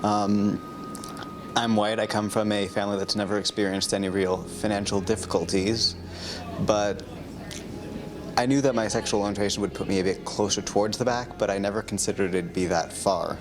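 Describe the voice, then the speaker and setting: A man's voice: on the low side, steady tone, moderate pace, background noise. A man taking part in an experiment, speaking candidly and thoughtfully in an interview. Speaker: experiment participant. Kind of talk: interview reflection. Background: music.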